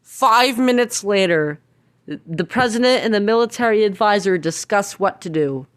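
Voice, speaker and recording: A woman's voice: medium pitch, expressive, normal speed, slight background noise. A woman serves as narrator for an amateur drama, reading scripted scene links. Her voice sits in the middle register.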